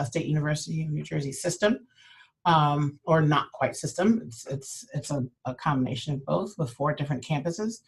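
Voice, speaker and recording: A woman giving a webinar presentation as a university administrator, earnest and measured, with a cold and frequent fillers. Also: low voice. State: has a cold.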